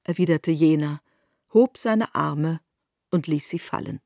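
A woman reading scripted literary narration as a storyteller, in a measured manner. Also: low-pitched, creaky, warm tone, dry recording, clean studio sound.

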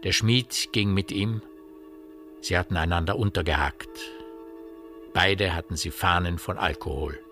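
A man narrating a radio drama as a literary storyteller, in a measured manner. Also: low-pitched, pushed and bright. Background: music.

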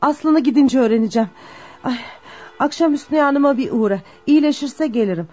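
A caring middle-aged mother in a radio play. Her voice is husky and fairly high, heard through a dull recording. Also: brisk, darkish tone. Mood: caring, busy. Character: kindly, caring mother of a grown son. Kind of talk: Turkish radio drama acting.

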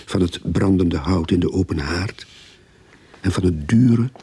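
A man's voice: low-pitched, unhurried, dark tone, dry recording, exaggerated voice. An actor playing a weary, wry young novice detective, narrating his case in a radio play. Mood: musing. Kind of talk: radio drama narration and dialogue. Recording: radio play with clear background noise.

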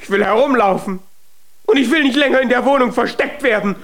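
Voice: mid-range voice, a little fast, lightly acted. A performer playing a small eight-year-old giant boy in a children's radio drama, mocking, whiny and defiant. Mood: defiant, sulky.

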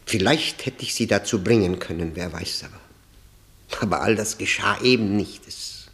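Gravelly, brooding broken man. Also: lowish voice, fairly bright tone. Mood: halting, anguished. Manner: halting, weary, introspective. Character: broken, brooding man confessing a tormented love. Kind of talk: 1960s German radio play acting.

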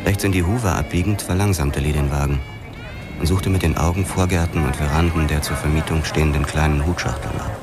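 A man's voice: very deep voice, fairly even intonation, fairly quick, music in the background. An actor playing a world-weary police detective who narrates his story in a hardboiled crime radio drama. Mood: grim, terse.

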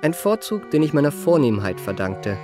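An actor in a German radio play playing an eager, well-mannered young impostor who poses as a marquis and speaks in ornate, courteous phrases. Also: male voice, a little high, sing-song, moderate pace. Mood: enthralled, proud. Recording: radio play with faint music.

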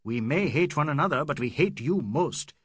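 A man reading an excitable, proud young Indian man who shouts in impassioned, quick rising outbursts. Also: fairly high pitch, husky, no room sound, studio quality, heavily acted. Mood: furious.

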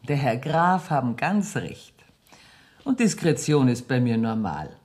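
A woman's voice: extremely low pitch, sing-song, measured pace, darkish tone, full character voice. An actress playing a shrewd, courteous Bavarian woman banker receiving clients in a radio drama. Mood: self-assured. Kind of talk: German radio drama acting. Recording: 1970s radio production with clear noise.

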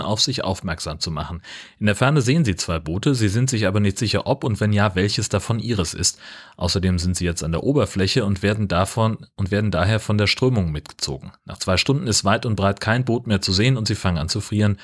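A man's voice: fairly low pitch, fairly quick, slightly rough. A man, a podcast host reading a scripted recap of a film.

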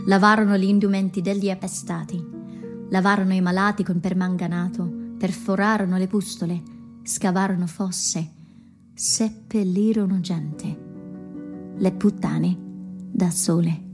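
A woman's voice: medium pitch, steady tone, measured pace, lightly acted. A woman playing a proud, defiant, weary prostitute in a radio drama monologue, speaking solemnly and emphatically. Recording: music under the voice.

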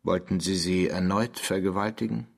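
Casting: sceptical interrogating listener, radio drama, lowish husky voice, incredulous and accusatory. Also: male voice, steady tone, moderate pace. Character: sceptical, disapproving male listener questioning a confessor.

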